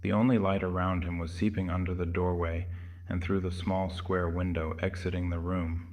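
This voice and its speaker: A man's voice: sits low, level tone, moderate pace, darkish tone. A man giving scripted narration for a horror audio drama.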